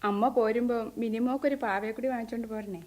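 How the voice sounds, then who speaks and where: An actress playing a young married daughter in a radio play, talking in a lively, teasing way. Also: female voice, fairly high pitch, fast talker, lightly acted. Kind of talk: 1970s radio drama acting.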